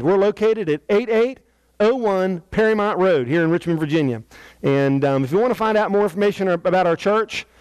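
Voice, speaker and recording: High-pitched American pastor, dry sound. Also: male voice, darkish tone, smooth, no echo, rough recording. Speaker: senior pastor. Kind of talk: televised church invitation to viewers. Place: indoor church sanctuary.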